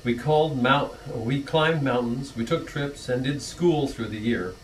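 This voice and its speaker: A middle-aged man reading his own rhyming anniversary poem in a church hall, earnest, with small stumbles and soft laughs. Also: mid-range voice, unhurried, distant mic, a little hiss or hum.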